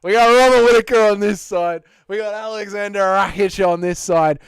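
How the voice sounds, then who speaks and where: Excitable Australian man streaming live. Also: high-pitched, normal speed, smooth, dry recording. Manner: excitable, swears, slangy banter. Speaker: streamer host. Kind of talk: live stream chat with viewers.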